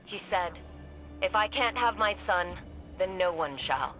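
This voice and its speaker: A woman playing an earnest, thoughtful sci-fi ally in a video game, with a measured, dramatic and reflective delivery. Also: fairly low pitch, animated, poor audio. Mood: solemn storytelling. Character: earnest, thoughtful female sci-fi ally guiding a soldier by comms. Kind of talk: scripted video game dialogue and storytelling. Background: prominent music.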